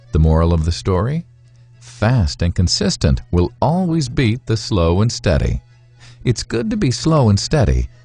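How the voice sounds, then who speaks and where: Male narrator, mellow husky voice, measured storytelling, instructive delivery, music behind. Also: sits low. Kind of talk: scripted narration of a moral fable.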